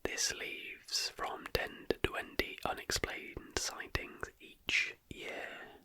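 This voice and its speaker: A host whispering a scripted ASMR narration softly from start to finish. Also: takes it slowly. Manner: soft whispering throughout.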